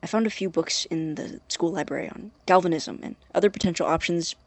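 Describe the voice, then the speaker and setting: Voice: mid-range voice, a little fast, no echo, natural voice. An actor playing an obsessive, anxious young male researcher dictating experiment notes in an audio drama, in a precise, formal but hesitant way. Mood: clinical, preoccupied. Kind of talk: audio drama, spoken tape journal.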